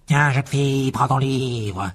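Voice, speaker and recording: A man voicing a contemptuous, aristocratic wizard father in an audiobook, drawling with disdain and mock politeness in a husky voice. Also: medium pitch, fairly even intonation, brisk, a little hiss or hum, lightly acted. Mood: spiteful contempt. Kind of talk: audiobook character voice.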